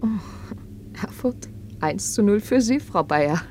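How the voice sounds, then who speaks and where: A woman's voice: medium pitch, animated, measured pace, darkish tone, a little hiss or hum. A woman playing a breezy, teasing, sociable wife in a German radio play.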